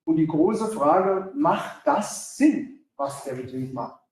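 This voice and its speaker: A man speaking German at a lecture, pausing in short chunks so an interpreter can translate. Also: a little high, lively intonation, darkish tone. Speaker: guest speaker, sales director. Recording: echoey hall, voice from far off.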